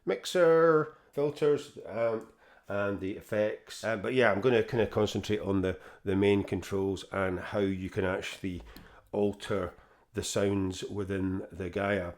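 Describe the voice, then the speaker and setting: A man with a croaky voice from a throat infection, hosting a casual synth tutorial at his keyboard in a home studio, talking haltingly with lots of fillers and restarts. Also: lowish voice, fairly even intonation. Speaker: synth demo host.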